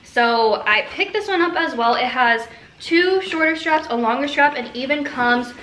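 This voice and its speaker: A woman, chatting to camera in a gushing, excited, emphatic way, like a casual haul vlog filmed at home. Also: high-pitched, normal speed, a little hiss or hum, low-quality recording. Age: 20s. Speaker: vlogger. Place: living room at home. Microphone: camera a short way in front of her.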